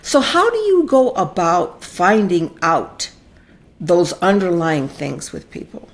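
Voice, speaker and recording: A woman's voice: on the low side, huge pitch swings, slow. An older woman hosting a conversational interview, retelling exchanges by acting out what people say. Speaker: podcast host.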